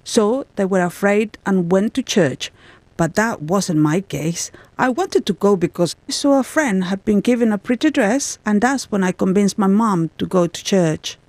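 A woman interpreting a guest's answers in the first person, in a measured, plain delivery in a studio. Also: medium pitch, expressive, a little mellow, no echo.

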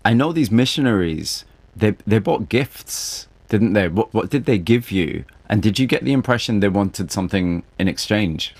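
A man's voice: fairly low pitch, expressive, normal speed, a little mellow, breathy edge, no room sound. A man hosting an interview in a studio, asking curious, probing questions and narrating between answers. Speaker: host and interviewer.

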